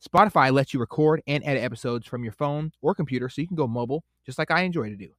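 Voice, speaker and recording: A man's voice: mid-range voice, racing, dry recording. Upbeat male podcast host, dry sound. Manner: upbeat, emphatic presenter delivery. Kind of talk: podcast intro and ad read, then interview.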